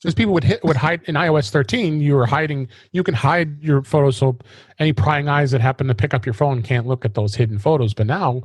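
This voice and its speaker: A man hosting a casual tech podcast, halting and often restarting his phrases. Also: a little high, breathy edge, dry recording. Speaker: podcast host.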